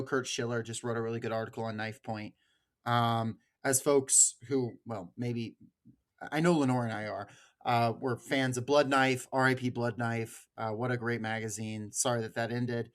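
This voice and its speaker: Casual, chatty male podcast host. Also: medium pitch.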